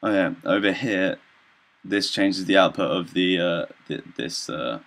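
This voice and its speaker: A young man giving an informal student demonstration of software, talking in a hesitant, halting, loosely explanatory way. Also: low-pitched, creaky. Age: young adult. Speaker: student presenter.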